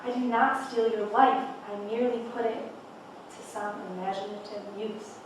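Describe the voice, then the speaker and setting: A woman's voice: fairly high pitch, steady tone, moderate pace, close to an everyday voice. Very echoey woman reading a defiant, desperate writer. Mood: challenging, defiant. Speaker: actor reading a script. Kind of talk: dramatic table read. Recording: very echoey, distant mic, noisy room.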